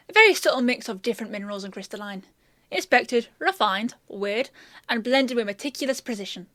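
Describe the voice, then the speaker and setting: Woman playing a high-voiced, chatty, teasing apothecary. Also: sharp tone, dry recording, studio quality. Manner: chatty, teasing, explanatory, addresses listener fondly. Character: chatty, confident, teasing young-hearted country apothecary woman, protective mentor. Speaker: voice actor in a fantasy audio roleplay. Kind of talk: one-sided roleplay acting addressed to the listener.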